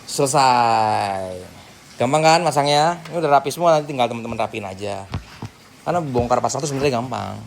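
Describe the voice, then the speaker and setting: A man's voice: mid-range voice, a little fast. A man giving a casual hands-on tutorial, informally talking through each step as he works. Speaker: tutorial host. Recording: clearly noisy background.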